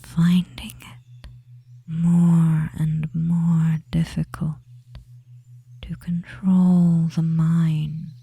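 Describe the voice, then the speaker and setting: Casting: performer as dominant femboy witch for hypnosis ASMR. Husky whisper, extremely slow, even delivery, soothing and possessive. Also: mid-range voice, full character voice. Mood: commanding, hushed. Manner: very slow, hushed, hypnotic, with long pauses.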